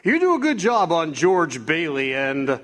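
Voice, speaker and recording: An actor playing a kindly, authoritative chief of angels who briefs his helper calmly and fatherly. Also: a little high, measured pace. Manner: calm, fatherly, instructive. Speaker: radio theatre actor. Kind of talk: live amateur radio drama acting.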